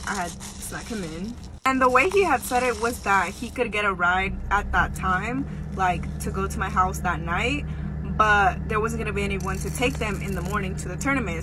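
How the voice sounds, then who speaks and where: A young woman telling a personal story casually for social video, slangy, conversational and often swearing. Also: mid-range voice. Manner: frequent profanity, slangy and conversational. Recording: clear background noise.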